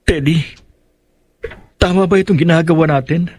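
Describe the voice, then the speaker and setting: A man as high-voiced, anxious young fugitive. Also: normal speed, darkish tone, close to an everyday voice. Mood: anxious, hesitant. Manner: hesitant, anxious, pleading. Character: frightened, guilt-ridden young man in hiding. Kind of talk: horror audio drama acting.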